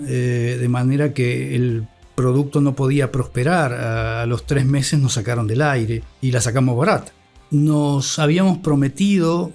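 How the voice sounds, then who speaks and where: Older Argentine man, thoughtful, mid-pitched voice. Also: darkish tone. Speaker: interview guest, radio academic. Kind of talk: reflective interview talk.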